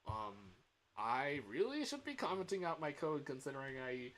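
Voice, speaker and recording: A man talking casually on a livestream as a game developer, thinking aloud in a hesitant, halting way. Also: fairly high pitch, huge pitch swings, fairly bright tone, a little hiss or hum.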